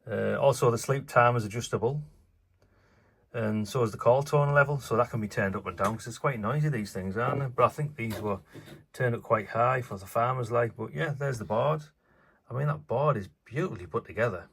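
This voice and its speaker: A man's voice: medium pitch, brisk, gravelly, fairly bright tone, a little hiss or hum. A man hosting his own channel, talking casually and offhand while he works, with lots of fillers, recorded on a phone at an indoor workbench. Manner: rambling, offhand, many fillers. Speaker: channel host. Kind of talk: casual hands-on commentary.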